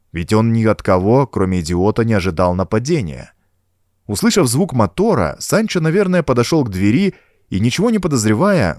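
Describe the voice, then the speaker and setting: A man's voice: lowish voice, a little mellow, no echo, studio quality. A man narrating a horror adventure audiobook in a suspenseful, theatrical manner. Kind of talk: dramatic scripted narration of a horror adventure story.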